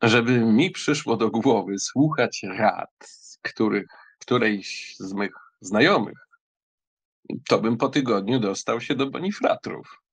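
A man playing a polite, ironic young German count in a dramatised audiobook, measured and wry. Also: medium pitch, animated, husky, full character voice.

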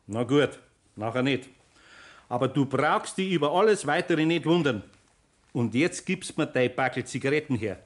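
A man's voice: mid-range voice, animated, moderate pace, close to an everyday voice. A man playing an older, good-natured master carpenter with a Bavarian accent in a 1960s German radio play, folksy and chiding. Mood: stern, teasing.

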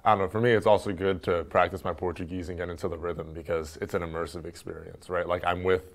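A young man with a low voice talks easily in an interview, filling gaps with "um" and "you know" as he thinks aloud. He grew up in Turkey and speaks as an athlete, recorded on a lapel mic in an indoor room. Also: quick pace. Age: young adult. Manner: relaxed, chatty, frequent fillers. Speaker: basketball player. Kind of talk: casual interview answers. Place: indoor room with wood-panel wall.

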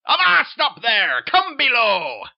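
A man voicing a gruff, bullying old retired sea captain who barks orders in salty seaman's slang. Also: medium pitch, lively intonation, measured pace, no echo, lo-fi sound. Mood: commanding. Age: older. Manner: bellowing pirate-style seaman's talk.

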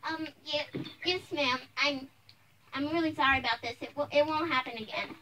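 High-voiced, sheepish schoolboy, acted by a woman. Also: normal speed, bright, forceful tone, far from the mic, a little hiss or hum, low-quality recording. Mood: sheepish, nervous. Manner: hesitant, stammering. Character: sheepish schoolboy bully caught out by mothers. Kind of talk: improvised amateur role-play.

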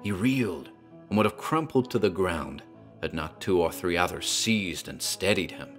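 Deep-voiced man narrating horror. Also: animated, a bit bright, faint music behind. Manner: grave, measured storytelling. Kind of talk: dramatic horror story narration.

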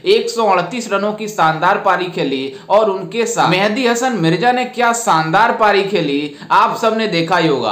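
A young man hosting a reaction video, talking to viewers in an excited, emphatic way. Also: high voice. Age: young adult. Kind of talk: casual commentary to viewers. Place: indoor room with a plain wall. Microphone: on-camera, facing the lens. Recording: roomy echo.

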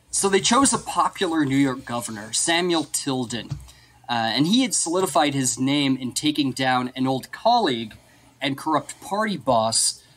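A man's voice: medium pitch, a bit bright. A man hosting a casual history podcast, explaining events from his notes and often laughing with his co-hosts. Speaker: podcast host presenting history.

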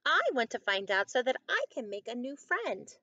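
Storybook role: friendly male wolf, played by a woman, high-pitched, courteous, eager to please, lively delivery. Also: moderate pace, no room sound, highs cut off. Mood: polite, cheerful. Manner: polite, cheerful, eager.

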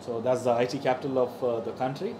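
A man's voice: medium pitch, steady tone, fast, warm tone, a little hiss or hum, rough recording. A man from South India chatting in a restaurant kitchen, giving short agreeing replies, picked up off-mic. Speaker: restaurant staff. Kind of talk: casual chat.

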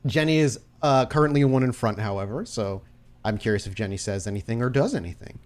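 A man runs a tabletop roleplaying game as its storyteller. He improvises his narration in a medium-pitched voice and puts questions to his players. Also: animated, fairly quick.

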